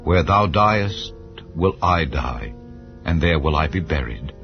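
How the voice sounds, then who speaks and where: A man's voice: very deep voice, expressive, exaggerated voice. A man reading as steadfast young widow Ruth. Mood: devoted. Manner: slow, measured vow-like delivery. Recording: slightly dull, soft music behind.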